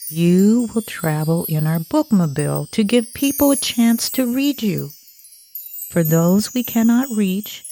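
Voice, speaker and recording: A woman playing a calm advocate who rescues banned books in a stage puppet musical, speaking in a measured, proclamatory way. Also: mid-range voice.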